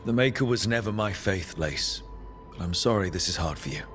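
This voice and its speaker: Casting: level-headed rebel hero for a fantasy game, low voice, clear music underneath. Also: male voice, animated, a little fast, fairly bright tone. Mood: gentle, sympathetic. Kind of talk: video game cutscene dialogue. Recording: music behind the voice.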